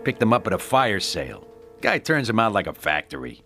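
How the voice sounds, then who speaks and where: An actor playing a cocky, menacing avenger in a crime radio drama, with slangy, taunting, sardonic delivery. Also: male voice, fairly low pitch, sing-song, brisk, sharp tone, faint music behind, heavily acted. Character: cocky, menacing male avenger posing as gangster's messenger. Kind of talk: hard-boiled crime radio drama acting.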